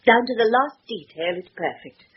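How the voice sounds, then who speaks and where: An actress playing an assured, exacting middle-aged English wife in a radio drama, crisp and insistent, on an old recording. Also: female voice, a little high, animated, normal speed. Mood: wondering, certain. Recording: dull, faintly noisy old radio recording.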